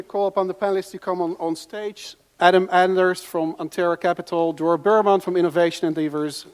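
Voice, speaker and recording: Man, fairly high voice, moderator, long hall reverb. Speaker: panel moderator. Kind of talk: conference moderation. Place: conference hall stage.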